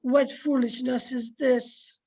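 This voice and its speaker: A woman's voice: high voice, little pitch movement, slow pace, mellow, breathy edge, exaggerated voice. A woman playing a blunt, devoted household servant who scolds, in old-time radio drama style. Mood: alarmed. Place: at home, recorded over a video call.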